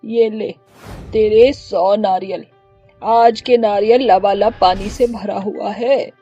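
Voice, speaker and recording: Story role: proud motherly stallholder played by a woman, husky, mellow, muffled recording. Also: medium pitch, fairly even intonation, slow, soft background music. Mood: brisk. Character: hardworking, affectionate mother-in-law coconut-water seller.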